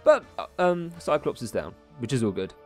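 A man's voice: medium pitch, sing-song, normal speed. A man hosting a gaming video with chatty, colloquial, casual commentary. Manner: chatty, colloquial, reads story dialogue aloud theatrically. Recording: music behind the voice. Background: game music.

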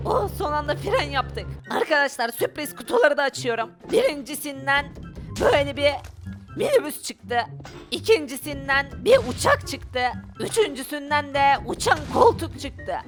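A woman giving excited video game commentary, exclaiming and thinking aloud for her viewers. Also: high voice, normal speed, faint music behind. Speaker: gaming video creator.

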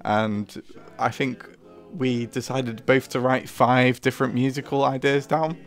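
A man's voice: medium pitch, steady tone, unhurried, soft background music. A man giving casual behind-the-scenes commentary as a co-host, chuckly and hesitant in manner. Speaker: co-host, writer and puppeteer.

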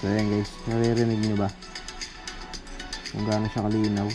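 A man's voice: on the low side, monotone, normal speed, over music. A man with a Filipino accent gives a casual hands-on product demo as a reviewer, informally addressing his viewers. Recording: noisy amateur recording with background ambience.

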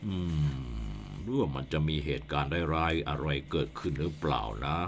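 A man's voice: deep voice, animated, moderate pace, fairly bright tone, slight background noise. An actor playing a worried village headman in a radio ghost drama, grumbling and defensive. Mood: praying fearfully. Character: worried middle-aged male village headman.

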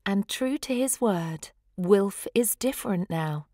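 A woman's voice: medium pitch, animated, slow, warm tone, dry recording, clean studio sound. A woman reading a rhyming children's story aloud, expressively and with dramatic emphasis. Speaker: storybook reader.

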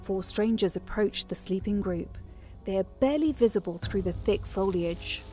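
A woman narrating a fantasy audio drama as a scripted story, in a measured, descriptive manner. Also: medium pitch, mellow, faint music behind, natural voice. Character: female narrator of a fantasy adventure.

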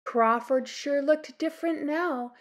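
A Canadian woman narrates a children's picture book. Her voice is fairly high and her pace slow, with the expressive delivery of a storytime reader. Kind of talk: children's storybook read-aloud, scripted narration.

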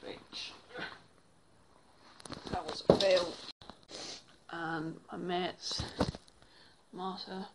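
A woman's voice: on the low side, little pitch movement, drawn-out words, pauses often, very noisy. A young woman from England chatting casually to a phone camera held at arm's length, rambling with lots of fillers. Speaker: vlogger. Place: bedroom, then indoors at home.

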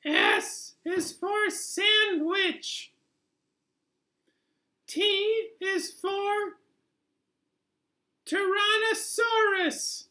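A man voicing a patient zebra puppet, slowly reciting in a children's puppet show. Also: very high-pitched, steady tone, pushed and bright, pitched above the performer's own voice, heavily acted. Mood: patient, deliberate. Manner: slow, deliberate.

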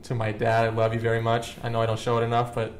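Loud, excitable young man, voice cracking. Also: medium pitch, fast, crowd noise. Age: young adult. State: voice cracking from yelling. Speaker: podcast co-host. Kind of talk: casual chat. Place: small office conference room. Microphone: headset with desk mic.

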